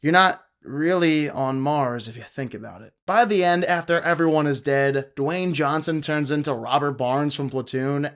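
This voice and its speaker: Clear-voiced young man reviewing movies. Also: fairly high pitch, fairly bright tone. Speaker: film reviewer and video host. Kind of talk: conversational movie review commentary to viewers.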